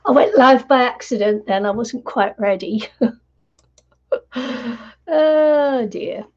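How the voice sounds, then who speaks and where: An older woman hosting a crafting live stream from home, croaky from not talking, chatty with lots of fillers and laughs. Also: a little high, slow, a little mellow. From New Zealand. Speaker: crafting channel host. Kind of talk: casual live stream chat. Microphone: computer mic on live stream. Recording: dull lo-fi stream audio.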